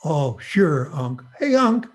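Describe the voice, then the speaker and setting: A man's voice: a little high, very animated, slow. An actor playing a cheeky, eager boy, perky and inquisitive, in an old-time radio comedy. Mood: eager, curious.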